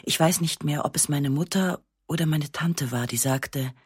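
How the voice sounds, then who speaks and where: A woman reading a literary first-person story in a sober, measured, matter-of-fact way. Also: deep voice, slightly rough, no room sound, clean studio sound. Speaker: narrator.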